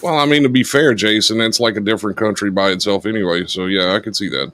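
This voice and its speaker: Deep-voiced joking male co-host. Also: fast talker, a bit bright, dry recording. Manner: joking, teasing, dry. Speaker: radio show co-host. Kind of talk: casual banter.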